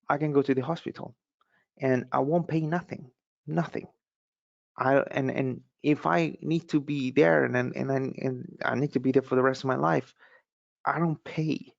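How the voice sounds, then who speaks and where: A man's voice: medium pitch, creaky, no room sound. A man from Sweden giving a loose, rambling market commentary, with many restarts as he explains. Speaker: market analyst. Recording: dull, dry lo-fi sound.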